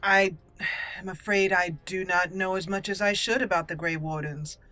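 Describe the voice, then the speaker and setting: A woman acts out a proud dwarven noblewoman and warrior for a fantasy game. She is haughty and formal, weighing each phrase deliberately. The voice is bright and forward, with music underneath. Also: lowish voice, steady tone. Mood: formal, curious. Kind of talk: video game roleplay.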